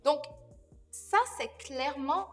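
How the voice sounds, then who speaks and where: Woman, slightly high voice, casual anecdotal explaining, music underneath. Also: takes it slowly. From abroad, came to Quebec as an immigrant. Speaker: panel guest. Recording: reverberant room, music underneath.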